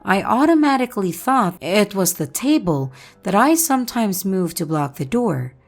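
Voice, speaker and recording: A woman hosting and narrating creepy first-person stories in a slow, expressive way. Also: mid-range voice. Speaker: channel host and narrator.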